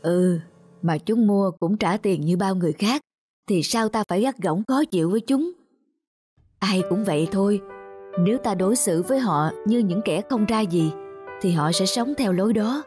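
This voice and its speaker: A woman's voice: mid-range voice, animated, normal speed, a little mellow, husky. Woman voicing a patient ice cream man. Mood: earnest. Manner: patient, explanatory. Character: kindly middle-aged male ice cream seller, patient, respectful. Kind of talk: cartoon dubbing. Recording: music behind the voice.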